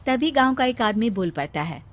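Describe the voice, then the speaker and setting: A woman's voice: on the high side, animated, moderate pace, no room sound. A woman reading scripted story narration for a cartoon.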